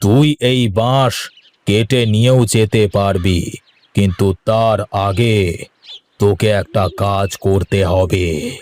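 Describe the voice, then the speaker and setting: A man voicing a stern, mocking and mysterious old forest hermit sage in a cartoon, with scornful, drawn-out speech. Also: on the low side, fairly even intonation, darkish tone. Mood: commanding, mysterious. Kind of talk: cartoon voice acting.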